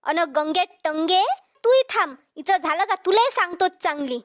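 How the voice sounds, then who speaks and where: Casting: brash cartoon village troublemaker, female, Vidarbha Marathi accent, piercingly high pitched-up voice, insulting and defiant. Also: lively intonation, normal speed, noisy background. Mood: outraged. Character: brash, pushy, combative village woman at water tap. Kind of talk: cartoon comedy dialogue.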